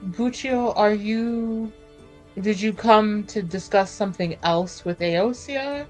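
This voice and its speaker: A woman's voice: medium pitch, slow, darkish tone, soft background music, rough recording. A woman casually talking through events as a player in a tabletop roleplaying game.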